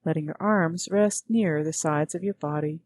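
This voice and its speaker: A woman guiding a meditation as a teacher, speaking gently in measured, unhurried phrases with pauses. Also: low-pitched, slight background noise. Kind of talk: guided meditation narration.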